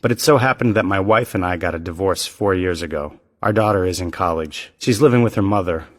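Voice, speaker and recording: Lower-voiced man reading practice lines. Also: a little fast, long reverb tail. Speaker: dialogue reader in English lessons. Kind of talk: scripted practice dialogue.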